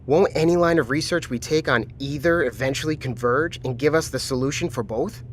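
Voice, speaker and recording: An actor playing a pragmatic submarine commander in an audio drama, measured, reasoning and placating. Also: male voice, mid-range voice, a little hiss or hum, close to an everyday voice.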